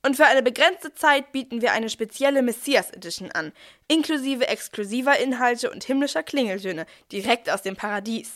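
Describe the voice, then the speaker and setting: Woman as brisk take-charge archangel, scripted church audio play, highish voice. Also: lively intonation, fairly bright tone. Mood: salesy, upbeat. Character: brisk, managing archangel Gabriel narrating and pitching.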